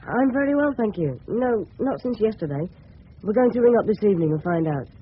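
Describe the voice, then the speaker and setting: Polite English teenage boy, pitch swinging widely. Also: lively intonation, brisk. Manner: polite, boyish, keen. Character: polite, persistent teenage schoolboy with breaking voice. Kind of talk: 1970s radio drama dialogue. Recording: muffled, faintly hissy old radio broadcast.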